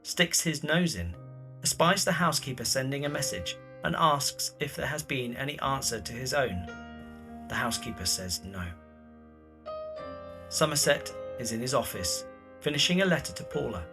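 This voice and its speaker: A man's voice: medium pitch, expressive, moderate pace, over music. A man reading scripted narration for a dramatised story.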